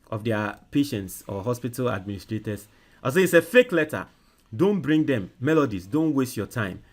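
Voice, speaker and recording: This is a Ghanaian man with a Ghanaian English accent who hosts a political show on social media. His voice sits at a middling pitch. He reads comments with loud emphasis and switches to halting, quiet dictation as he types an email.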